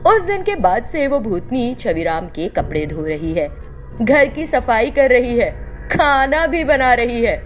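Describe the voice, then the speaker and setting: A woman's voice: a little high, sing-song, unhurried, soft background music. A woman narrator reading a scripted children's tale in a storytelling style.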